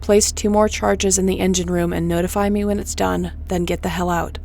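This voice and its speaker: Woman as a low, creaky-voiced tough starship captain. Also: little pitch movement, a little fast, a little mellow. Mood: commanding. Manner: commanding, blunt, sardonic. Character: tough, defiant, protective female starship captain. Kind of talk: sci-fi audio roleplay acting. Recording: faint background noise.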